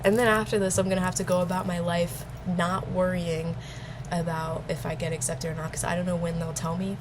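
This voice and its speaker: A woman's voice: fairly low pitch, level tone, brisk, background noise. A young adult woman chatting casually in a nervous, hesitant, rambling way. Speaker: vlogger and interviewee. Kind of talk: casual chat.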